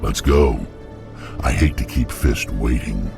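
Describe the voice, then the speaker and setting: A very deep, gravelly growl from a hulking alien mercenary warrior in a video game. He speaks bluntly and with menace, in short flat threats. Loud game music plays behind him. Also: slow, exaggerated voice. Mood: gruff.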